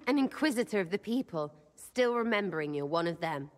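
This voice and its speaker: A woman's voice: fairly low pitch, animated, brisk, big-room sound, a little hiss or hum, lightly acted. A woman playing a mischievous, blunt young elven rebel in a video game, with an English accent and a cheeky, slangy, irreverent way of talking. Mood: gleeful.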